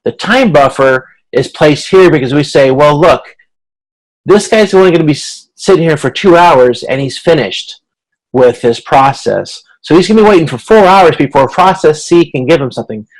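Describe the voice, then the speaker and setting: A man's voice: a little high, expressive, normal speed, poor audio. A man lecturing informally as an instructor on a video conference, explaining step by step with frequent restarts and repeated phrases.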